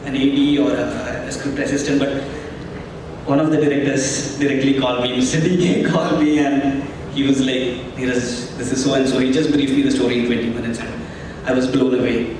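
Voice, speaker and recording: A man's voice: mid-range voice, level tone, brisk, far from the mic. An actor telling the press about his role, friendly and light. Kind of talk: press conference answers. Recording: echoey hall, mic from afar, noisy.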